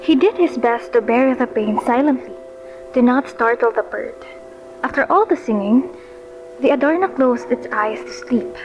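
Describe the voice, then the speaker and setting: A woman's voice: on the high side, moderate pace, music in the background, low-quality recording. A woman narrating a radio play in a scripted storytelling style.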